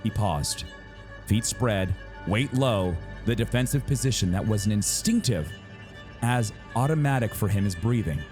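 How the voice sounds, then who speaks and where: A man reading a story aloud as a dramatic narrator, with suspenseful, deliberate phrasing and pauses. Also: fairly low pitch, lively intonation, darkish tone, faint music behind. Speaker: narrator reading a novel excerpt. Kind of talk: dramatic audiobook-style narration.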